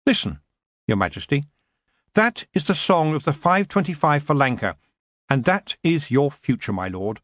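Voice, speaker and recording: A man's voice: on the high side, very animated, normal speed, fairly bright tone, no echo, voice pushed up. Brief: young witch girl, raised and firm, serious and commanding, as a male narrator puts her on, dry sound. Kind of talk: audiobook character voice.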